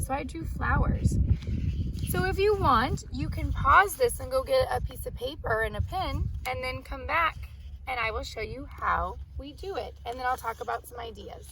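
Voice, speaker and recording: A woman's voice: high-pitched, creaky, fairly bright tone, a little hiss or hum, rough recording. A woman speaking as an elementary teacher to young children, reading aloud and explaining things simply, step by step. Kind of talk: read-aloud and children's art lesson.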